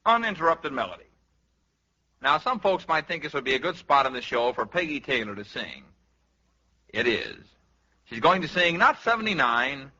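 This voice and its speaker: Sharp, animated male radio host. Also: a little high. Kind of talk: 1950s comedy variety show presenting. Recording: dull, noisy 1950s radio recording.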